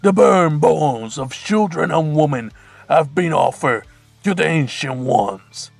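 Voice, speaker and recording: A man voicing a masked cult leader, a fanatical man who shouts ecstatic proclamations in a deep, gravelly voice. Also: lively intonation, takes it slowly, dry recording, faint music behind, exaggerated voice. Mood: fervent.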